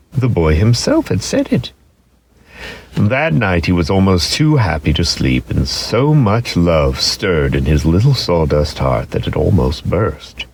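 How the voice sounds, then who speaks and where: A man narrating a children's story dramatically, expressive and lingering on key words for emphasis. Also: extremely low pitch, gravelly, a little hiss or hum.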